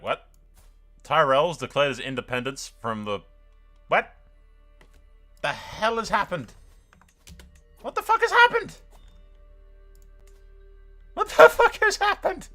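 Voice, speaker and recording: A male gaming host with a bright, forceful voice and very lively pitch. He gives sweary, excitable play-by-play, jumping from dramatic outbursts to dry understatement, with music playing behind him. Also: unhurried, pauses often. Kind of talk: excitable let's-play commentary.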